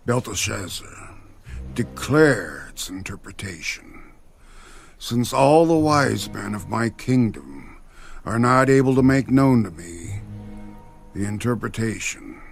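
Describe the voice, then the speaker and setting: Proud, solemn monarch for scripture audio drama; mid-pitched male, very unhurried, music underneath. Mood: grave, commanding. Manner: solemn, deliberate, stately. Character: proud king recounting a troubling prophetic dream. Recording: clear music bed behind.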